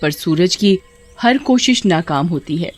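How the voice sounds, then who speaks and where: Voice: medium pitch, lively intonation, a little slow, faint music behind. A narrator reading a scripted horror tale for animation in a dramatic storytelling style.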